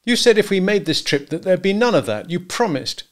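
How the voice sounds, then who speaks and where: A male narrator plays a wealthy husband who is easily provoked. His voice rides high and emphatic, full of disgust and accusation, as in a dramatised storybook. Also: expressive, brisk. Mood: angry, accusing.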